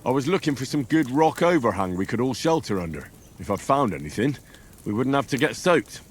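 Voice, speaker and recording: A man's voice: medium pitch, very animated, fairly quick, a little hiss or hum, natural voice. A man playing a young blacksmith's son turned soldier in a medieval video game, blunt, earthy and dutiful. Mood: matter-of-fact.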